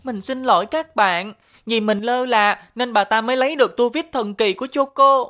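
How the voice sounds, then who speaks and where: Woman as an earnest, high-voiced little boy. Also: normal speed, background noise, lightly acted. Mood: sorry. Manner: earnest, childlike. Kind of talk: animated children's cartoon dubbing.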